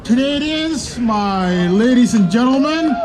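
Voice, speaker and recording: A man from Sudan, giving a fervent, shouted speech at an outdoor street rally. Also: very high-pitched, animated, slow pace, noisy background. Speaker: rally speaker. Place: outdoor downtown street protest. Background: faint crowd.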